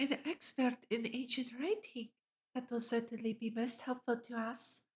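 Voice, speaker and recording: A woman's voice: fairly high pitch, steady tone, normal speed, natural voice. A woman voicing a playful, teasing, big-sisterly woman professor from a visual novel. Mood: smooth, polite.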